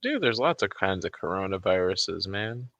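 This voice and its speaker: A man's voice: sits low, very animated, normal speed, fairly bright tone, dry recording, muffled recording. A man in casual chat, talking in blunt, sweary banter. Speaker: software developer, show guest.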